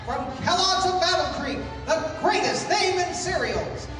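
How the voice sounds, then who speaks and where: A man playing an excitable 1930s radio serial announcer, grandly theatrical, with booming drawn-out delivery. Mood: thrilled, booming. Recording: echoey hall, distant mic, music behind.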